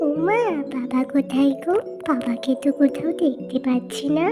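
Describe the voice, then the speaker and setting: Woman voicing a high-pitched little farm girl. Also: moderate pace, close to an everyday voice. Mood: curious. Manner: childlike, plaintive. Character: poor farmer's young daughter, wistful, gentle. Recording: cartoon dub with loud background music.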